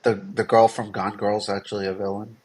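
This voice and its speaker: A man's voice: fairly low pitch, level tone, brisk, a little mellow, low-quality recording. Dry, tongue-in-cheek man on a livestream. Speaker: game designer and stream co-host. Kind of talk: casual livestream banter.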